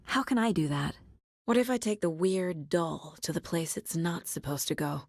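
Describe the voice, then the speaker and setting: An actress playing a determined, wry federal agent investigating supernatural crimes in a video game, measured and matter-of-fact. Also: female voice, on the low side, lively intonation, a little mellow, dry recording, clean studio sound. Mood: focused. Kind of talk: scripted game dialogue, investigative monologue.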